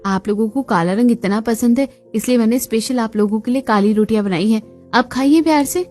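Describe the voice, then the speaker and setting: A woman's voice: on the high side, brisk, darkish tone, faint music behind, lightly acted. A woman voicing a sarcastic, defiant young daughter-in-law in a family cartoon, dramatic and mock-sweet.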